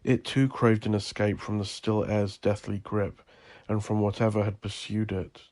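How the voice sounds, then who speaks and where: A man narrating dark horror fiction in a deliberate, literary storytelling manner. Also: lowish voice, level tone.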